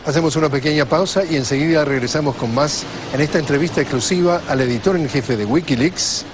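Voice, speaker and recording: A man's voice: on the high side, fairly quick, heavy background noise. A man hosting a formal broadcast interview, putting questions as the interviewer. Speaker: interviewer, TV host.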